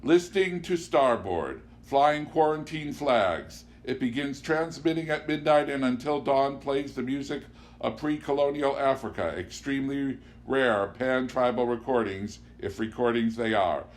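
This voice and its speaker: A man's voice: medium pitch, a little slow, close to an everyday voice. A man voicing an eccentric, grandly poetic man in an audiobook, declaiming and drawing out key words. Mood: mysterious, declaiming. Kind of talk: audiobook character dialogue. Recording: clear background noise with hum.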